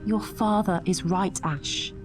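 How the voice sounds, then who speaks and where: An actress playing a gentle, cautious mother of a desert tribe in an audio drama, soothing and hushed. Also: lowish voice, steady tone, slow. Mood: cautioning. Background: dramatic music.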